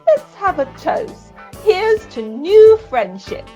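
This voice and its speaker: A woman's voice: very high voice, sing-song, slow, mellow, dull audio, soft background music, exaggerated voice. A woman playing a bossy, cold, scheming ringleader in an amateur radio play. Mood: hearty.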